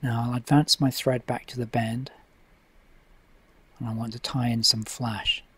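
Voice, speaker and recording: Calm man explaining fly tying. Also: medium pitch, a little slow, husky, no room sound. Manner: relaxed, methodical, with frequent uh fillers. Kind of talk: instructional voice-over. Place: home tying desk.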